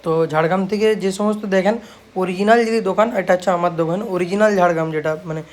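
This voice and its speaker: A man's voice: high voice, steady tone, racing. A man with a West Bengal Bengali accent, a repair shop owner talking casually to viewers, repeating himself and often adding confirming tags. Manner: repetitive, habitual confirming tags. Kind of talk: casual shop video, showing work to viewers.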